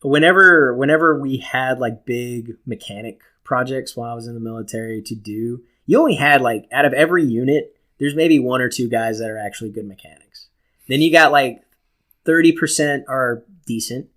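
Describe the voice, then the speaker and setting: A man's voice: medium pitch, moderate pace, fairly bright tone. Joking, foul-mouthed man chatting casually. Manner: crude, teasing, slangy and profane. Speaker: podcast co-host.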